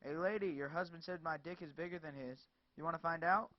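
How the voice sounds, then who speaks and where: A man's voice: on the high side, fairly quick, no echo, pitched above the performer's own voice, close to an everyday voice. A man voicing a crude young male street thug with a taunting, leering manner. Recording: dull lo-fi dry recording.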